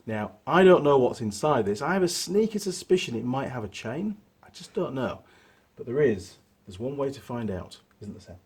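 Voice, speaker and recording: A man, hoarse from a cold, hosting his workshop channel and chatting casually through a gearbox teardown with plenty of fillers. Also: mid-range voice, normal speed. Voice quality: husky. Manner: chatty, relaxed, rambling with fillers.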